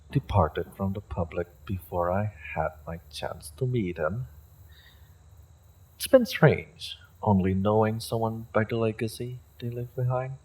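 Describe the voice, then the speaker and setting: A man's voice: fairly low pitch, slow pace, long pauses, darkish tone, husky, rough recording. A man playing a haughty evil sorcerer in a fantasy roleplay audio drama, theatrical, condescending and musing. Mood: impatient, dryly amused. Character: haughty evil male sorcerer leading a raid.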